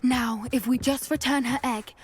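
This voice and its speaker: A young woman playing an earnest, gentle teenage witch who loves animals in a video game, with a British accent and an expressive delivery. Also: sits high, normal speed, noisy background, close to an everyday voice. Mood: relieved, earnest.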